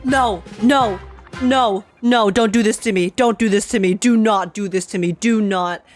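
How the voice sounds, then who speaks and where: A woman's voice: mid-range voice, unhurried, soft background music. A young woman live-streaming a game with casual, slangy reactions, expressively repeating phrases for effect.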